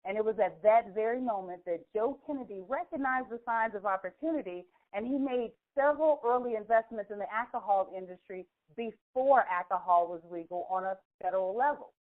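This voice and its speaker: Female call host, persuasive and emphatic storyteller, mid-pitched, on a narrow telephone connection. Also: fairly quick, hardly any pauses. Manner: emphatic, rhetorical questions to listeners. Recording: thin narrow phone conference line.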